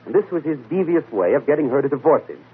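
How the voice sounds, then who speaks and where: A man's voice: a little high, very animated, quick pace, breathy edge, heavily acted. A man playing a confident, methodical amateur detective in 1940s radio mystery style, explaining deductions by posing and answering questions. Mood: brisk, assured deduction. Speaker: detective and show host. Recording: muffled, lo-fi vintage radio broadcast.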